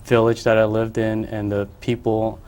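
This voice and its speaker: A man's voice: fairly low pitch, completely flat delivery, normal speed, darkish tone. A young man from the Philippines, a painter being interviewed on a local cable show, who talks in a hesitant way full of fillers and restarts. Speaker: artist guest. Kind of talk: casual interview.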